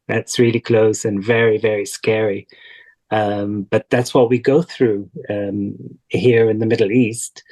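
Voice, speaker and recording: A man from Kuwait, speaking thoughtfully and deliberately in a reflective interview over a video call. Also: mid-range voice, vocal fry, mellow, low-quality recording. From Kuwait, of Palestinian parents. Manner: thoughtful, deliberate, with repetition for emphasis. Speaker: interview guest. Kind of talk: reflective personal interview talk.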